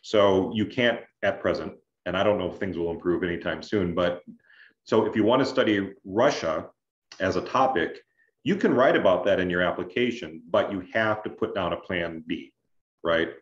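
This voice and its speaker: Very low-pitched man on a roomy call. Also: moderate pace. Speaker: scholarship adviser, presenter. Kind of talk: explaining in an online information session. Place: indoors on a video call. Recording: roomy, dull video-call audio.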